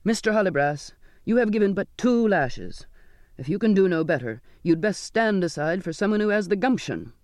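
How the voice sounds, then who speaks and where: A man's voice: a little high, animated, moderate pace, hardly any pauses, dry recording, clean studio sound, natural voice. A man voicing a stern, imperious ship's captain. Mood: angry, forceful. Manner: imperious, insistent, scornful. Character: stern, derisive ship's captain, cruel and commanding. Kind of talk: dramatised audiobook dialogue.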